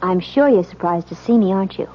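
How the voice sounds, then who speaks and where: A woman's voice: mid-range voice, expressive, fast talker, a little hiss or hum, natural voice. A woman playing a distraught, suspicious young newlywed wife in a 1970s radio drama, with intense, theatrical delivery. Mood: cold, bitter. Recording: muffled vintage radio recording.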